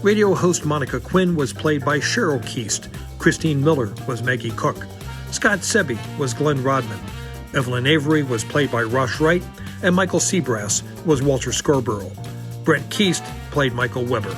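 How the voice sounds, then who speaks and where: A man's voice: medium pitch, expressive, moderate pace. Dramatic male announcer over music. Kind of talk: scripted radio drama narration. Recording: prominent music under voice.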